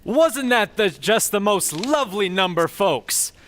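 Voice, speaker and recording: A man's voice: high-pitched, animated, measured pace, fairly bright tone. A man announcing and narrating an old-time radio show in a theatrical, dramatic scripted style.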